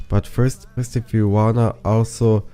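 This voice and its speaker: A man's voice: on the low side, little pitch movement, measured pace, dark tone, clean tone. A young man who is a bit sick, walking through a game plugin in a rambling tutorial style with many restarts. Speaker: plugin reviewer. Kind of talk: casual tutorial walkthrough.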